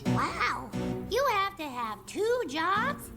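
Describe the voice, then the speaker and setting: An excitable little boy bee in a cartoonish audio drama. The voice is extremely high, squeaky and childlike, very animated in pitch, with music behind it. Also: takes it slowly, exaggerated voice. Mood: curious. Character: excitable, innocent little boy bee, cheerful detective sidekick.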